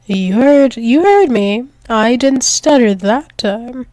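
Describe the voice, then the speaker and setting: Woman acting as a possessive female werewolf demon, ASMR roleplay, very slow, close dry sound, coaxing, doting. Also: fairly high pitch, animated, a little mellow, dry recording. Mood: teasing, possessive. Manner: coaxing, soothing, slow, often dropping into whispers.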